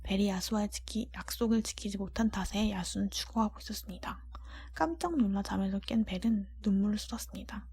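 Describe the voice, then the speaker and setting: A woman reading a storybook aloud as ASMR narration in a breathy whisper. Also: medium pitch, fairly quick, mellow.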